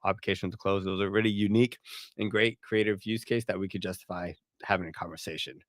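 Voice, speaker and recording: A man's voice: fairly low pitch, fairly even intonation, fast, dry recording, studio quality. A man from Puerto Rico, speaking English as a later language, answering questions on a livestream in a loose, chatty way with many fillers and restarts. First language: Spanish. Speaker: partnerships director. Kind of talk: casual livestream Q&A.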